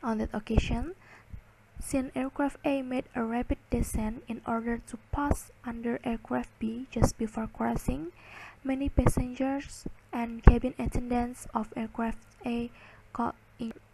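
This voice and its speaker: A woman giving a slide lecture, reading and explaining in halting, word-by-word phrases. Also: on the high side, steady tone, vocal fry, heavy background noise.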